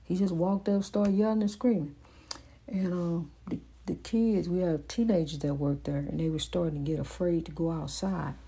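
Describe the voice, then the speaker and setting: A woman's voice: fairly low pitch, steady tone, moderate pace, warm tone, slightly rough, poor audio. A woman hosting her own motivational program, giving a rambling, faith-filled monologue that repeats phrases for emphasis, recorded indoors. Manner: rambling, with many fillers and restarts. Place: indoors at home.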